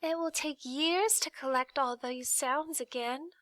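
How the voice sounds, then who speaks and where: A woman's voice: high voice, measured pace, fairly bright tone, dry recording, pitched above the performer's own voice. A woman voicing a sorrowful female sound keeper from a storybook, plaintive and sobbing with regret. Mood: tearful, regretful.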